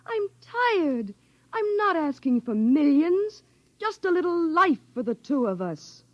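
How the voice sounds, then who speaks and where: A woman's voice: high-pitched, sing-song, slow, background noise, exaggerated voice. An actress playing a weary but loving woman of the house in a 1950s radio drama, speaking emphatically. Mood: fed up, pleading. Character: weary, loving wife and mother, exasperated. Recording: dull 1950s radio recording, music behind.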